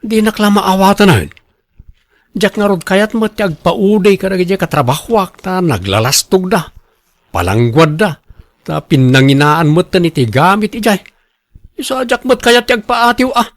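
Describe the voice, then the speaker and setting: An actor with an Ilocano accent playing a boastful, defensive husband who loves showing off, in a radio drama. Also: male voice, high-pitched, animated, moderate pace, dry recording. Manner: showy, bragging. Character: boastful, defensive husband and co-worker obsessed with costly branded clothes.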